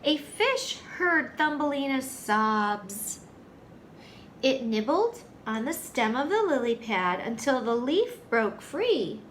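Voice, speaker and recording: A woman with a high-pitched voice tells a story to young children as their teacher. She goes slowly, phrasing things simply and putting questions to the listeners. There is faint noise behind her. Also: sing-song, a bit bright. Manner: simple, deliberate phrasing aimed at small children.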